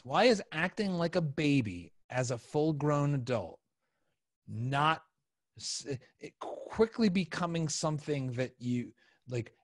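A man in his forties hosting a casual interview, halting and rephrasing his questions as he goes. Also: fairly high pitch, no echo, studio quality. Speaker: podcast host. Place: small home studio room, indoors. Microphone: close podcast microphone on a video call.

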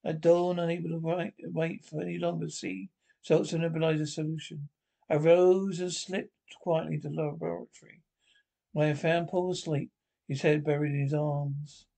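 A man's voice: on the high side, little pitch movement, normal speed, creaky, dark tone. A man narrating a radio drama script by himself, reading stage directions aloud and stumbling over words.

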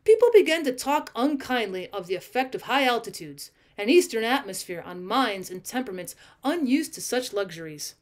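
A woman with a fairly high voice narrates a literary short story. She reads deliberately and expressively, leaning on key phrases as a teacher reading aloud would. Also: lively intonation.

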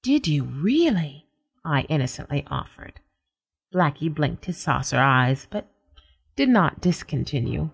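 Woman as a sly genteel cat telling a story, low voice, really expressive, wry. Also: unhurried, vocal fry, husky, full character voice. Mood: sly, flattering. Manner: polished, formal, wry. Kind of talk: scripted radio story narration.

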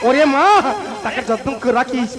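A man's voice: very high voice, steady tone, normal speed. A man playing an indignant, moralizing elderly village uncle in melodramatic folk stage drama, declaiming proverbs and repeated refrains. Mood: anguished reproach. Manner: declamatory, repetitive, proverb-laden. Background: loud music.

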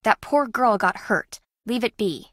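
A woman's voice: on the high side, expressive, unhurried, dry recording, clean studio sound. Cool, guarded woman contestant. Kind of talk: video game voice acting.

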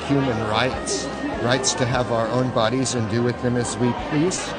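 A man answering an interviewer slowly and hesitantly. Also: medium pitch, steady tone, over a noisy crowd, heavy background noise.

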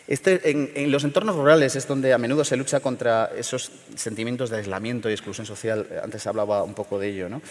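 A middle-aged man from León in Spain, speaking at a panel forum in a measured, hesitant way with many fillers and restarts. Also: medium pitch, in a large hall. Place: large theater stage.

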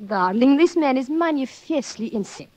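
An actress playing an excitable, haughty foreign mistress with melodramatic, formal phrasing in 1940s radio style. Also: female voice, high voice, normal speed. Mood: indignant.

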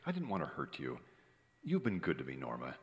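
A man's voice: rumbling low, huge pitch swings, brisk, full character voice. A man playing a cynical, wry screenwriter in old-time radio drama style, sardonic in delivery. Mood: reproachful, softening. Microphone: stand microphone on stage. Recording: live theater stage, long reverb.

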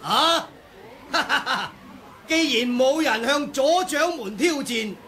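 A man's voice: very high voice, lively intonation, very slow, bright, forceful tone, slight background noise, exaggerated voice. An actor with a Hong Kong Cantonese accent playing a scornful male martial artist in an old radio drama, sneering and declamatory. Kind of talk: 1980s radio drama acting.

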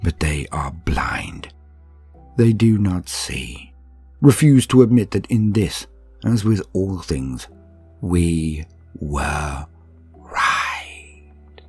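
A man playing a cruel, decadent ancient alien aristocrat, telling a horror tale in a grandiose, haughty, theatrical way with slow pauses. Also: low-pitched, expressive, darkish tone, slightly rough, no echo, full character voice. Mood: defiant, reverent. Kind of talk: dramatic first-person scripted horror storytelling. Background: music.

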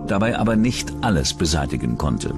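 A man with a low voice delivers scripted true-crime documentary narration. His pitch moves in lively swings, and music plays clearly behind him. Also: fairly quick.

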